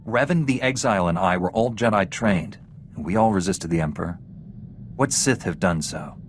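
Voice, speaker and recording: A man's voice: sits low, normal speed, a little hiss or hum, close to an everyday voice. An actor playing a principled young male Jedi knight in a video game, earnest and resolute. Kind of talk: dramatic video game dialogue.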